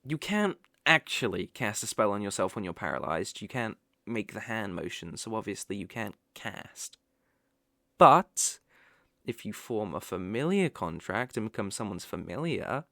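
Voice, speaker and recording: A British man playing a sly, possessive young male kitsune fox spirit in an ASMR roleplay, soft-spoken and coaxing with playful hesitations. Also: fairly low pitch, dry recording, studio quality, exaggerated voice. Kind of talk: ASMR roleplay, acted directly to the listener. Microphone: close microphone, dry.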